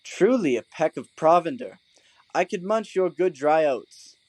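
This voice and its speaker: Guy playing a silly pompous donkey man, voice swooping all over, fairly high, dry studio sound, stage comedy. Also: animated, measured pace, darkish tone, no room sound, low-quality recording. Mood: contented, drowsy. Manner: pompous, mock-courtly, leisurely. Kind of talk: Shakespearean comic audio drama.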